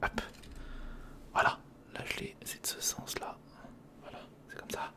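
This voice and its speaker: A person whispering informal ASMR commentary in short step-by-step remarks while building, speaking directly to the viewer. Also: unhurried. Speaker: ASMR video creator and host.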